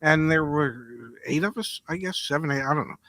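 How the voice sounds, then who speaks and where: An older American man hosting a conversational podcast interview in a deliberate, storytelling manner. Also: fairly high pitch, fairly bright tone, dry recording. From United States. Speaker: podcast host.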